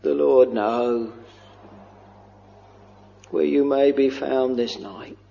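A man preaching a slow, fervent sermon, drawing out his words and sometimes lifting into a chant-like lilt. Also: medium pitch, steady tone, creaky, warm tone. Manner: drawn-out, intoning, breaks into a chant-like lilt. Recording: dull lo-fi recording with long hall reverb.

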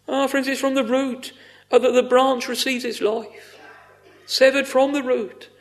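A man's voice: extremely high pitch, level tone, unhurried. A man preaching a fervent extempore evangelical sermon, exclamatory, repeating phrases for emphasis with sighing interjections.

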